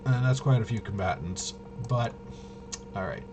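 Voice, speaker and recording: Low-voiced man thinking aloud, noisy recording. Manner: halting, thinking aloud, muttering to himself. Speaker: game master demonstrating a tabletop RPG. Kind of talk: casual improvised narration of solo tabletop gameplay.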